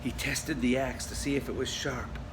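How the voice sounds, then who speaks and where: Expressive male storybook reader, noisy recording. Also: mid-range voice, normal speed. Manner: dramatic, addresses listeners. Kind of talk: expressive children's story reading with asides.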